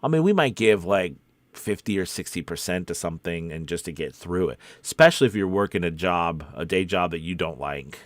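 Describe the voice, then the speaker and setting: A man with a fairly low voice talks close and dry, with very little room sound. He hosts a casual livestream as a voice actor, rambling in an earnest, encouraging way as if chatting with peers. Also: lively intonation, brisk, dry recording. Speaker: voice actor hosting a coffee-time livestream.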